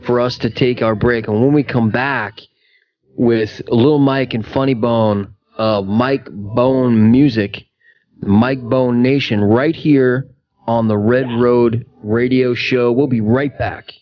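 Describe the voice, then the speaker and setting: A man's voice: mid-range voice, fairly even intonation, takes it slowly, dull audio. A man hosting a radio show in a conversational interview style.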